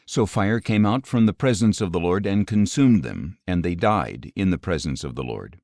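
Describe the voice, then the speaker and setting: A man narrating scripture as an audiobook, reading from a script in a solemn, deliberate, measured manner. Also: sits low, animated, creaky, a little mellow, no echo, clean studio sound.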